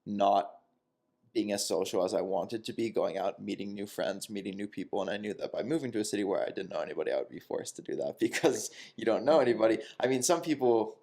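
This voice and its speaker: A man's voice: fairly low pitch, quick pace. A man in his twenties chatting casually and thoughtfully on a podcast about moving to a new city. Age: 20s. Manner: relaxed, reflective, with some restarts and laughs. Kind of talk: casual chat.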